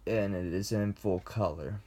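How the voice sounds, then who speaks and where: Young male student presenter, lowish voice, slow measured read. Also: steady tone, warm tone. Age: young adult. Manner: slow, deliberate reading with pauses. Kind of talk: class presentation read from script.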